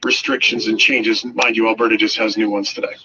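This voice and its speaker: A man's voice: fairly low pitch, flat delivery, a little fast, over a noisy crowd. A man hosting a news talk radio chat. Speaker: radio host. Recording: dull lo-fi radio playback.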